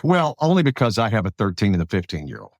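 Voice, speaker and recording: Animated middle-aged man hosting. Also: fairly low pitch, fast talker, no echo, muffled recording. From South Alabama, US. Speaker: podcast host, radio broadcaster. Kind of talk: casual conversational interview.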